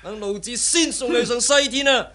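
An actor with a Hong Kong Cantonese accent playing a menacing, taunting martial arts villain in a radio drama. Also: male voice, very high voice, moderate pace, pushed and bright. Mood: aggressive.

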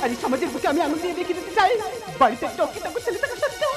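A woman's voice: very high voice, big pitch swings, racing. A woman playing a proud, dutiful younger sister who reproaches her brother, declaiming emphatically in folk stage melodrama. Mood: wounded defiance. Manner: emphatic, declamatory, repeating lines. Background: loud music.

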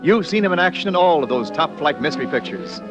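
A man's voice: on the high side, lively intonation, quick pace. A man announcing a sponsored program in an emphatic, declamatory 1940s radio style. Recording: muffled 1940s radio, music behind.

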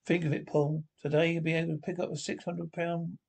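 A man reading the part of an anxious, protective scientist father in a radio horror script, haltingly and plainly. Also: on the high side, little pitch movement, creaky, darkish tone, breathy edge. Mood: reassuring.

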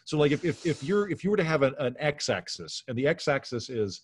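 A man's voice: a little high, big pitch swings, fairly quick, a crowd in the background. A middle-aged American man chatting casually and giving his opinions as a podcast guest on a video call. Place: home room on a video call.

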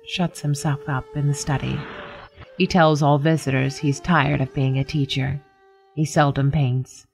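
A woman playing a nameless, observant house cat, narrating a literary radio story in a measured, formal, deadpan way. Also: low-pitched. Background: music.

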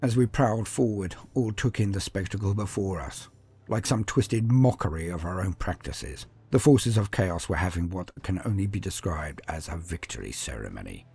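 A man's voice: low voice, lively intonation, moderate pace, gravelly, darkish tone, slightly rough, exaggerated voice. A man voicing a grim armoured space marine who recounts battle in a solemn, martial, disdainful storytelling manner. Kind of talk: first-person dramatic storytelling.

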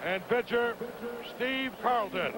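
A man with a high voice calls out player introductions over a stadium loudspeaker. He speaks slowly and deliberately, pausing between each name. A loud roar of stadium noise surrounds his voice on this old 1980 broadcast. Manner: slow, deliberate, drawn-out pauses between names. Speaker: stadium public address announcer. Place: outdoor ballpark stadium. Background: loud crowd.

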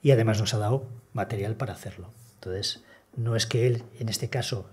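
An older man giving a measured, explanatory seminar talk on conservation, pausing often with hesitations, seated at a table in a conference room. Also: on the low side, darkish tone, slightly rough.

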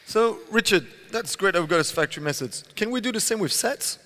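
A man's voice: high voice, animated, fairly quick, a bit bright. A man from Belgium in his thirties, co-presenting a tech talk on stage with a headset mic, chatty and full of "you know" fillers. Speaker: conference co-presenter. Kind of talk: prompting questions in a tech talk. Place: large conference hall stage. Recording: long hall reverb.

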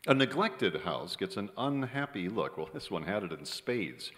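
A man's voice: on the low side, very animated, normal speed, fairly bright tone. A man playing a cynical, broke screenwriter in an old-time radio drama, with a wry, colloquial delivery. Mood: wry, weary. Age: middle-aged. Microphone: stand microphone on stage. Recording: reverberant theater stage recording.

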